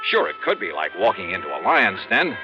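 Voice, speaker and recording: A man's voice: low voice, sing-song, a little fast. A man playing a wry, harried insurance investigator, narrating his case in punchy American 1950s radio drama style. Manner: wry, punchy hardboiled storytelling. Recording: dull vintage radio recording with music.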